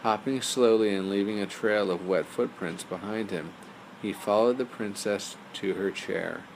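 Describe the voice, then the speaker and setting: A man tells a bedtime story for young listeners. His voice is low with a gravelly creak, and the delivery is simple storytelling. Faint noise sits behind him. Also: unhurried, low-quality recording.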